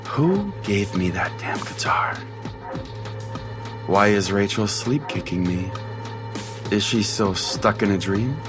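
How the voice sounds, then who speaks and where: A man playing an eccentric pastor who hosts a late-night call-in radio show in a theatrical, mock-solemn way. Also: on the low side. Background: music.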